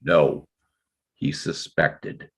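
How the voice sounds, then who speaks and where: A man playing a burdened, defensive father in a dramatic table read, explaining himself in halting, measured phrases. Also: very deep voice, fairly even intonation, gravelly, husky, heavily acted. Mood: subdued, explaining.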